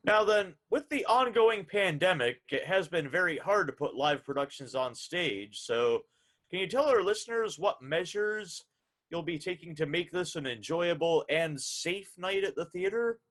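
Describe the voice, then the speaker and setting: A man's voice: high voice, lively intonation, normal speed, a bit bright, poor audio. A man hosting a radio hour, with a formal announcer's delivery for introductions and interview questions.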